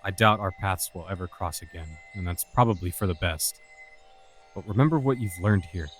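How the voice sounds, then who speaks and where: A man playing a composed, enigmatic lordly scholar in a fantasy audio drama, speaking in a measured, formal way. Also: low voice, faint music behind, natural voice. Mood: wistful.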